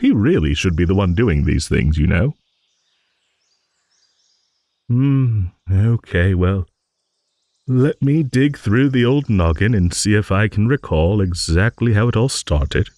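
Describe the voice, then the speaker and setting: A man voicing an ancient, genial dragon in an improvised fantasy audio roleplay, rambling and musing like a refined, hesitant old gentleman. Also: lowish voice, lively intonation, measured pace, dry recording, studio quality. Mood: musing, nostalgic.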